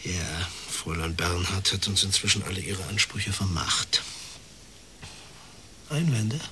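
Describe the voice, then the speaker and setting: Low-voiced, suave older clergyman. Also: pushed and bright, a little hiss or hum. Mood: smooth, businesslike. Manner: measured, formal, unctuous delivery. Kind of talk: radio crime drama acting.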